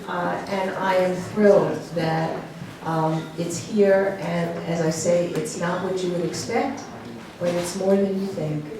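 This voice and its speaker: Halting woman curator in an echoey gallery. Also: on the low side, steady tone. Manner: halting, many ums and uhs. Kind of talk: opening remarks at an exhibition. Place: gallery room with an audience. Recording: echoey room from far off, noisy.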